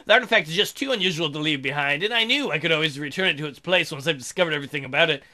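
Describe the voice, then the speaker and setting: A man's voice: a little high, rapid-fire, bright, forceful tone. A man hosting a let's play, reading a visual novel aloud and breaking in with irreverent, playful, mocking asides.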